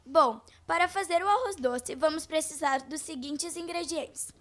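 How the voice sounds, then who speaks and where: A Brazilian schoolgirl presents a cooking segment in vintage radio style. She reads from a script in a high young voice. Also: moderate pace. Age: child, about twelve.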